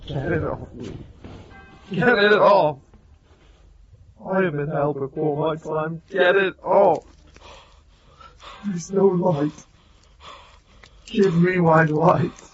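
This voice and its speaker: A condemned man speaks slowly in a fairly high voice, tormented and pleading. His halting, prayerful radio drama delivery comes through slightly dull audio. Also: a little hiss or hum. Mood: panicked despair. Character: condemned man on the gallows, desperate, praying, tormented. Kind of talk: radio drama acting with inner monologue.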